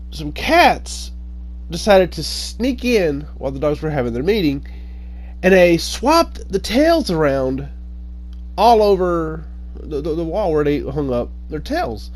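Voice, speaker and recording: Male storyteller, slightly high voice, unhurried and hesitant, informal folk-tale telling with self-corrections. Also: big pitch swings, darkish tone. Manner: halting, hesitant delivery with frequent pauses and self-corrections. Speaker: online storyteller.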